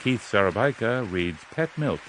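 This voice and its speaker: A man's voice: on the low side, expressive, moderate pace. A man giving a scripted introduction as an announcer, in a noisy recording with hiss and crowd ambience.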